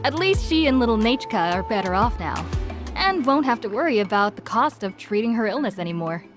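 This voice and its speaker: A kindly, absent-minded village woman in a video game. She speaks in a fairly high voice with a hesitant manner. Music sits faintly behind her. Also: big pitch swings, fairly quick. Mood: kindly, chatty. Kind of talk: game character dialogue.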